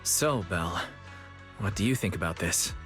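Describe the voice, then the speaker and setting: An actor playing a calm, low-key young brother and investigator in an anime-style game, soft-spoken and measured. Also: male voice, music in the background.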